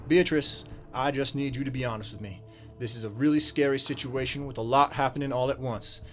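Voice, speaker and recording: An actor playing a dogged, wry detective in a radio drama, deliberate and dryly authoritative. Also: male voice, mid-range voice, a bit bright, soft background music, lightly acted. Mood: firm, questioning. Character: dogged, wry male detective, firm but polite.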